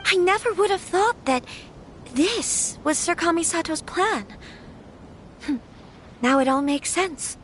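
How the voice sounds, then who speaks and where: An actress playing a gentle young noblewoman in an anime fantasy game, troubled yet firm, with soft, earnest, formal phrasing. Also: very high-pitched, expressive, slow pace, pauses often, slight background noise, exaggerated voice. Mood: troubled, reflective.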